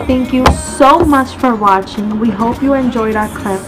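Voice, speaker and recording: A woman reads an earnest closing message aloud in a fairly high voice. A loud music bed plays under her. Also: measured pace, poor audio. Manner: earnest, read-aloud delivery.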